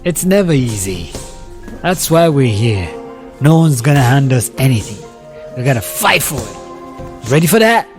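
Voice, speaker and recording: A man's voice: medium pitch, big pitch swings, normal speed, pauses often, faint music behind, close to an everyday voice. A man voicing a tough, determined teenage boxer and protective older friend in a dramatized story, streetwise and urging. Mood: coaching.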